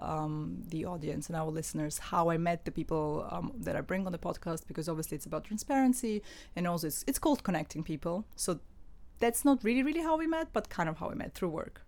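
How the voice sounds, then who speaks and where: Woman, fairly low voice, podcast host, friendly, casual interview. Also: a little mellow. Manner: friendly, asks follow-up questions.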